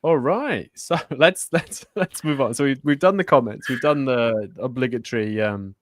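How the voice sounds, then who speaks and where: A man's voice: medium pitch, normal speed, no room sound. Easygoing man co-host, dry close sound. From born in Germany, raised in Spain. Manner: laughs often, self-correcting. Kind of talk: casual chat.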